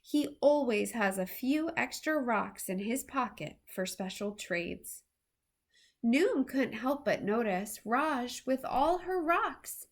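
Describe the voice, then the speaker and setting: A woman reads a picture book aloud for young children. Her voice sits high and moves freely up and down, with an unhurried, carefully expressive storytime delivery.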